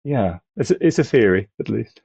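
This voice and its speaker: Muffled, animated man thinking aloud. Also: mid-range voice, moderate pace, vocal fry, slightly rough, no echo. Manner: tentative, searching, self-correcting. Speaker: podcast panellist. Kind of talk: casual analytical discussion.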